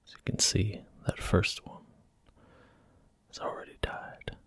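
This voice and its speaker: A man giving soft-spoken, gentle ASMR commentary while he plays a video game. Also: measured pace, dry recording. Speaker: ASMR gaming host. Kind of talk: whispered ASMR gameplay commentary.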